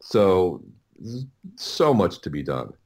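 A middle-aged man with a low-pitched voice answers as a guest in a casual interview. He talks haltingly, repeating words and restarting sentences as he rambles along. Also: big pitch swings, a little mellow, slight background noise. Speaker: interview guest, audiobook producer.

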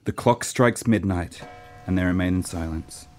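A man's voice: low-pitched, moderate pace, a little mellow, faint music behind. A man from Norway narrating a scripted radio play in a theatrical, storytelling way.

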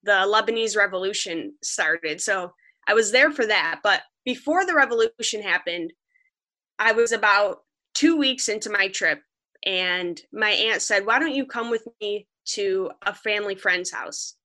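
Young woman guest, a reporter from upstate New York; earnest and vivid, a bright, forceful voice. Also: medium pitch, measured pace. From Western New York. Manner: earnest, vivid storytelling, quoting others. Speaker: freelance journalist guest. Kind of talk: podcast interview, storytelling.

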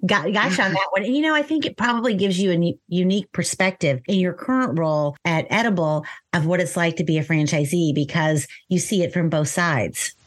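Enthusiastic woman podcast host, lower voice. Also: fairly quick, few pauses. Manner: enthusiastic and welcoming. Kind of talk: interview.

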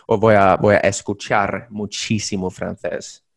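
Playful man, slightly low voice. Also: level tone, a little slow, gravelly. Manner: playful, uses Spain and Mexico slang, frequent restarts. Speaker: podcast co-host. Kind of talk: casual chat.

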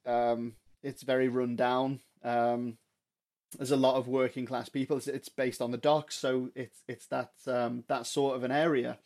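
A man's voice: medium pitch, a little mellow. Earnest man with a mild Liverpool accent. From England. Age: 30s. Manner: earnest, reflective, lots of ums and restarts. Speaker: guest. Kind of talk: casual podcast chat.

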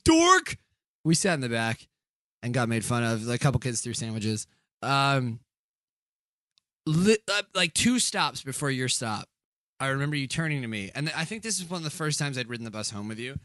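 A man co-hosting a podcast in casual banter, joking fast and slipping into mock voices for his bits. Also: medium pitch, very animated, a bit bright, dry recording, clean studio sound. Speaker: podcast co-host.

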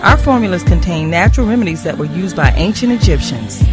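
A woman's voice: lowish voice, lively intonation, fast. A woman reading a scripted beauty advertisement as a commercial announcer. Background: music bed.

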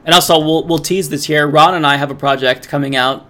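A young man hosting a casual political podcast interview, earnest and rambling, his voice going hoarse. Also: fairly high pitch, fairly even intonation, fairly quick, pushed and bright. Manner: earnest, rambling, laid-back. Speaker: podcast host.

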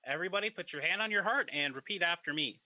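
An actor playing a flustered small-town mayor chairing a town meeting in a comedic radio play, fussy and evasive. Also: male voice, high voice, animated, quick pace, pushed and bright, exaggerated voice, rough recording. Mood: formal.

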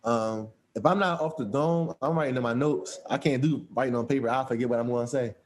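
A man's voice: medium pitch, fairly quick. A man, a rapper, answering interview questions in a laid-back, hesitant way with many restarts. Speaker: rapper guest.

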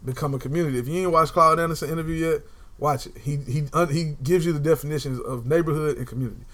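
Young man, slightly higher voice, emphatic, preachy, casual talk. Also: fast, fairly bright tone. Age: young adult. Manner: emphatic, preachy, repeats phrases for effect. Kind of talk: casual conversation.

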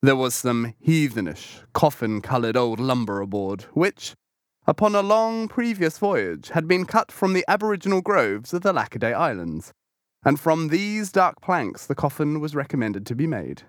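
A man reading a classic novel as an audiobook, with measured, expressive storytelling delivery. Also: on the high side, no echo, clean studio sound. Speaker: audiobook reader. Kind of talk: literary audiobook narration.

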